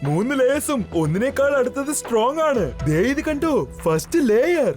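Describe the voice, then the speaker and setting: A man voicing an excitable, absent-minded, boastful male scientist in a children's cartoon, fussy and full of exclamations. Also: very high-pitched, moderate pace. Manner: fussy, exclamatory, forgetful, repeats words. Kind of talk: children's cartoon dubbing in Malayalam. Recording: music behind the voice.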